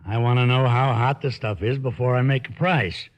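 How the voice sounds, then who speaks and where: An actor playing a sly, mocking, slangy dealer in stolen goods in an old-time radio drama. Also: male voice, medium pitch, moderate pace, lightly acted. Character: sly, mocking male fence haggling over stolen goods. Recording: muffled old radio recording.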